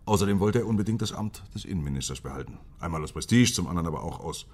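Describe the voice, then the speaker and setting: A man playing a dogged, principled police chief inspector in a German radio drama, speaking in a measured, factual and insistent way. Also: low-pitched, expressive, darkish tone.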